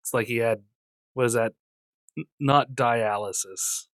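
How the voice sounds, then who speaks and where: A man's voice: mid-range voice, unhurried, fairly bright tone, no echo. A man co-hosting a casual podcast, joking and teasing his co-host and laughing often, on a studio mic. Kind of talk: casual chat. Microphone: studio mic through a mixer.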